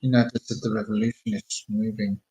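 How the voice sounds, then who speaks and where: Low, flat-voiced man guiding meditation. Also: low-quality recording. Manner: slow, soothing, murmured instructions. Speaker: meditation guide. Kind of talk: guided meditation.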